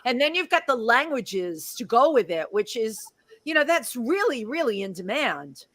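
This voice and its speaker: A woman hosting a talk show, reacting with effusive enthusiasm. Her voice is high and bright, with a forceful edge. The sound is close and dry. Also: big pitch swings, moderate pace, no room sound. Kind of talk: casual talk show chat.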